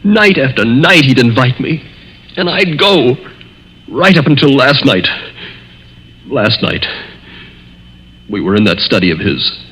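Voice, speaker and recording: A man's voice: lowish voice, a bit bright. An actor playing a nerve-racked young man hunted by his stepfather, tense and dramatic in the 1940s radio style. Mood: bitter, haunted. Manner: tense, agitated, dramatic delivery. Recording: dull, noisy old 1940s radio recording.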